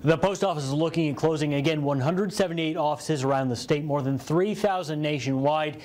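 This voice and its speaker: An American man reports live from a news studio. His voice runs slightly higher than the average man's. He speaks as a broadcast reporter. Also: a little fast, a little mellow, slight background noise.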